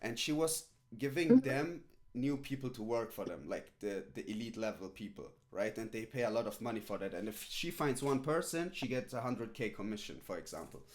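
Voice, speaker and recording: A man's voice: mid-range voice, lively intonation, a little fast, hardly any pauses, darkish tone, a little hiss or hum. Rambling streamer man. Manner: rambling, filler-heavy, repeats "right?" and "literally". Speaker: streamer coaching a viewer. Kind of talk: casual live-stream chat.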